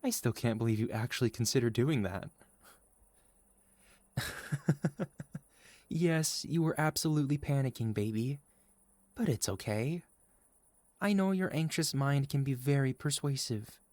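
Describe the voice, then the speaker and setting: A man playing a sweet, caring, gently teasing boyfriend in a soft, reassuring ASMR roleplay. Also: mid-range voice, unhurried, pauses often, no echo, lightly acted. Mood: relieved, teasing. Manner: soft, tender, reassuring, playful. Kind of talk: ASMR boyfriend roleplay, intimate scripted monologue.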